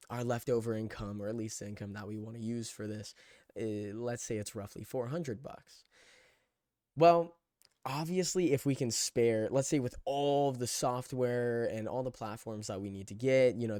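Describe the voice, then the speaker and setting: A man's voice: fairly low pitch, darkish tone, dry recording, studio quality. A twenty-year-old man giving a casual, rambling vlog monologue, loose, digressive and self-deprecating as he talks through his screen. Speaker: vlogger documenting a personal challenge.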